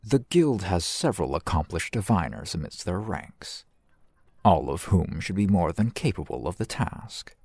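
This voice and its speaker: A man acts out a shrewd, courteous male shopkeeper in a fantasy ASMR roleplay. His voice is low and husky, moving through formal, polite phrases with lively rises and falls. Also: no echo, exaggerated voice. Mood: decisive. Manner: formal, wordy, measured, polite. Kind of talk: ASMR roleplay, scripted character acting.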